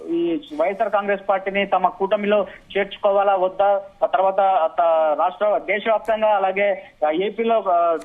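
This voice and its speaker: A man reporting live as a news correspondent, halting and restarting his words, over a tinny phone line. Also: high-pitched.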